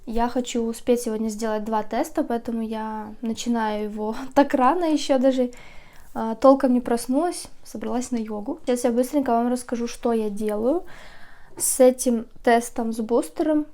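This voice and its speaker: A young woman vlogging a candle-making how-to early in the morning, chatty and just woken up, with a slightly rough voice. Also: on the high side, steady tone, moderate pace. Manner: chatty, sleepy early-morning talk with soft laughs. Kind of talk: casual how-to vlog.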